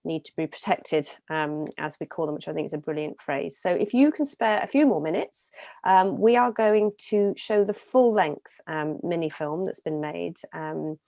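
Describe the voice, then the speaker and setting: A woman's voice: deep voice, moderate pace, vocal fry, warm tone, dry recording, studio quality. A woman hosting an event, giving upbeat closing remarks with frequent ums. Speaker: event host.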